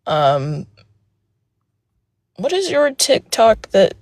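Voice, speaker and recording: A young woman with a soft voice telling a personal story on a live panel, hesitant with many fillers and restarts, speaking from outdoors. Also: medium pitch, huge pitch swings, drawn-out words, slight background noise. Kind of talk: casual personal account. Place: outdoors near a road.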